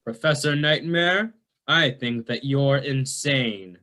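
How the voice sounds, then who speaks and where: A man's voice: medium pitch, slow pace, bright, forceful tone. A man playing a cocky, dim heroic spaceship captain with self-important bravado in a campy sci-fi radio play. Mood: puzzled.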